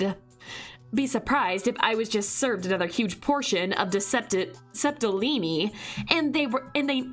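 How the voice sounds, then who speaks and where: A woman's voice: medium pitch, fairly bright tone. A woman streaming a visual novel, reading it aloud and chatting through her thoughts with frequent restarts. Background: faint music.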